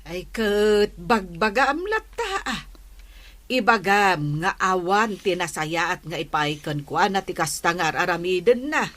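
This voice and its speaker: A woman with an Ilocano accent playing a concerned neighbour who gives gentle advice in a radio drama. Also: fairly low pitch, lively intonation, measured pace. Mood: concerned, advising.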